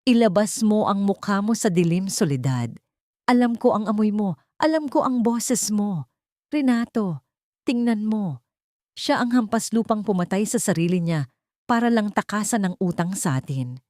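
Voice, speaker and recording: Woman doing angry rich old mother in an audio drama, higher pitched, loud and accusing. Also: animated, moderate pace, no echo, clean studio sound, close to an everyday voice. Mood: scornful. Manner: shouting accusations.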